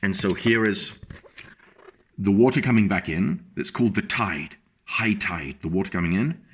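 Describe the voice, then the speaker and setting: A man reading a picture book aloud to young children, animated and stopping to explain the pictures to his small listeners. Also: low voice, moderate pace, slight background noise.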